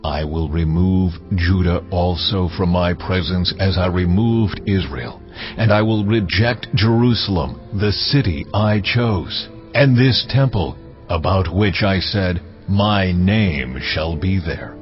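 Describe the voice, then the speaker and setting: God, deep and solemn. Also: male voice. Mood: stern. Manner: slow, solemn pronouncement. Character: God speaking in judgment, stern and mighty. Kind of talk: dramatized audio Bible. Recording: dull lo-fi with music underneath.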